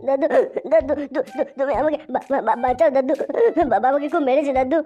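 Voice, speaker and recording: A woman's voice: high voice, fairly quick, mellow, close to an everyday voice. A woman voicing a whiny, demanding, foul-mouthed little boy in a comedy cartoon. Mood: whining, pleading. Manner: whining, pleading, insolent. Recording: muffled lo-fi audio with music.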